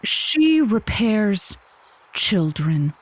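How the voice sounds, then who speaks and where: A radio advice hostess, earnest and sympathetic. She speaks politely and with care, a little formal, at a middling pitch, as if weighing each phrase for a call-in comedy show. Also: big pitch swings, exaggerated voice, low-quality recording. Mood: earnest, tactful. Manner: measured, polite, tactful, slightly formal.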